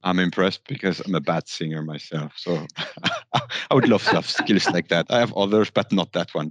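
Deep-voiced man hosting a podcast. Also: no room sound. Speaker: podcast host. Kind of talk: corporate podcast interview.

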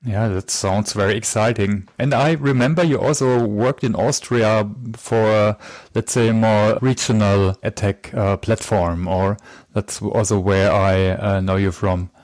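Male podcast host, lowish voice, dry recording; halting, filler-heavy questioner. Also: steady tone, darkish tone, no room sound. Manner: hesitant, with many uhs and "let's say". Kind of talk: podcast interview.

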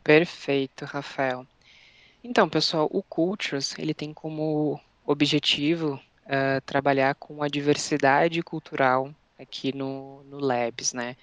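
A young man, a podcast guest, explaining his work in an earnest, measured way with frequent fillers. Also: a little high, steady tone, fairly bright tone, no room sound. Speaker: podcast guest, employee network leader.

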